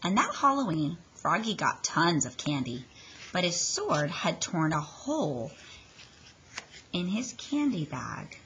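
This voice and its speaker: A woman reading a children's story aloud in an expressive, dramatic way, leaning on the sound words. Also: on the low side, slow pace, muffled recording, a little hiss or hum.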